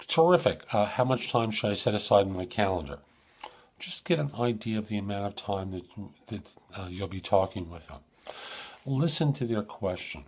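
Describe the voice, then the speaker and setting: Male advice-show host, low and raspy, informal coaching delivery with emphatic points. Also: animated, moderate pace. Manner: informal, emphatic coaching, acts out sample questions. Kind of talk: conversational advice monologue.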